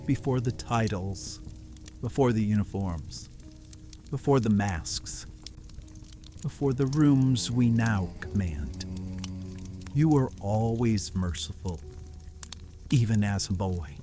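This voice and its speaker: A ruthless male villain from dark fantasy audio drama, acted by a man, speaks in a mellow, dark tone. His delivery is extremely slow and deliberate, every short phrase set apart by a pause. Faint music sits beneath his cold, reflective monologue. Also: fairly low pitch, animated. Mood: brooding, reproachful. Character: ruthless, coldly reflective villain man writing to former friend. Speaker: writer and performer of an audio series. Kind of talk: dark fantasy ASMR villain monologue, letter read slowly. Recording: music under the voice.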